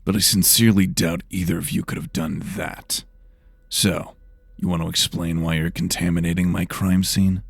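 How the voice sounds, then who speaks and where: A man's voice: sits low, flat delivery, moderate pace, vocal fry, slightly rough, no room sound, full character voice. A man playing a brusque investigator of magical murders in a fantasy audio drama, blunt and demanding. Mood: stern.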